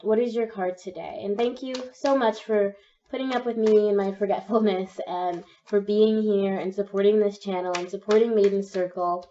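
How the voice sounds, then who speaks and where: A young woman with a cold and a slightly croaky voice, gently talking through a pick-a-card tarot reading. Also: mid-range voice, fairly even intonation, moderate pace, distant mic, muffled recording, a little hiss or hum. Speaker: tarot reader.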